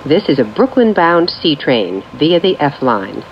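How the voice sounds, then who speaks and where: Woman train announcer, slow n measured, low voice, recorded on board w train noise. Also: big pitch swings. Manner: measured, formulaic, clearly separated phrases. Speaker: transit announcer. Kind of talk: scripted automated train announcements. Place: recorded off speakers inside a subway train. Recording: muffled capture with train noise. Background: train ambience.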